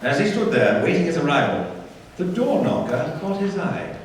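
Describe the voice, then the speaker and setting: A man narrating a radio play in an expressive, theatrical storybook style. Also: a little high, moderate pace. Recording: very echoey hall, distant mic, faint noise.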